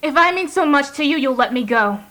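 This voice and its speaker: Young wife, high voice, bitter, emotional, audio drama. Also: female voice, animated, a little fast, bright, forceful tone. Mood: tearful, resigned. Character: anguished young wife fleeing motherhood and marriage.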